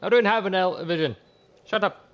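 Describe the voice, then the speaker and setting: A man's voice: sits high, normal speed, lo-fi sound, noisy background. A man livestreaming a game with casual, blunt, profane commentary and jokey asides. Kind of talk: casual reactive gaming commentary. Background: loud game music.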